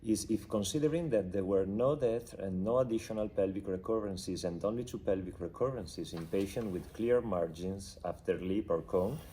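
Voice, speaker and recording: Male interviewer. Deep, mellow tone, formal questioning, light noise behind the voice. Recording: faint background noise and ambience.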